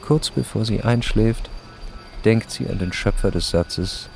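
Slow, low, gravelly male narrator. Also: faint music behind. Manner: terse, matter-of-fact, clipped fragments. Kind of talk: scripted radio play narration.

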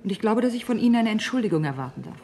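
Radio drama role: female actor as self-assured, inquisitive socialite, medium pitch, coquettish yet cool. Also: expressive, very fast, warm tone, natural voice. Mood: indignant. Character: poised, inquisitive, self-assured society woman, gently challenging. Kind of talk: German radio drama acting.

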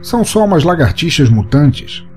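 A man's voice: fairly high pitch, sing-song, normal speed, a little mellow, faint music behind, pitched above the performer's own voice. A man voicing a cocky, impatient young male monster hunter with a dismissive manner in an audio short story.

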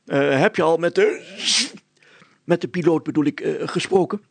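Pompous fat village mayor, congested, stammering, gruff, raised pitch, husky, storybook character. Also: male voice, normal speed, no echo. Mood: flustered. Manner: gruff, stammering, self-important. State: congested with hay fever. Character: short fat pompous village mayor, suspicious, officious man.

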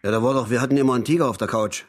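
Actor as husky, fast-talking, aggrieved ex-husband. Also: male voice, mid-range voice, fairly even intonation, lightly acted. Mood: wistful, insistent. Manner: blustering, colloquial, repeats himself. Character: aggrieved, stubborn ex-husband and hapless working-class father. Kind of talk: naturalistic German radio drama acting.

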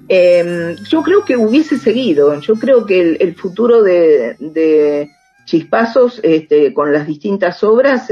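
A woman's voice: fairly low pitch, creaky, dark tone, lo-fi sound. An Argentine woman speaking reflectively as an interviewed author and researcher, often checking in with short rhetorical tags.

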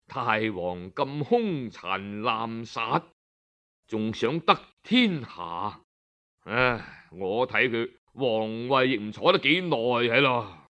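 A man voicing an earnest court minister in a storytelling performance, solemn, measured and imploring. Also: mid-range voice, animated, a bit bright, highs cut off, pitched below the performer's own voice. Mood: resigned, sorrowful. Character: grave, earnest male court minister pleading with his king.

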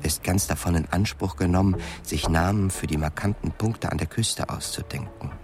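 A man's voice: sits low, normal speed, a little mellow, faint music behind. A man narrating a radio play in scripted, dramatic literary prose.

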